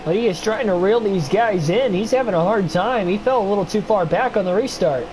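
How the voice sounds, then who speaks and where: Young male streamer, congested, voice worn; excitable play-by-play with casual asides, noisy home mic. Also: high-pitched, brisk. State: stuffy nose, voice getting worn. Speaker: streamer doing race commentary. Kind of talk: play-by-play sim racing commentary.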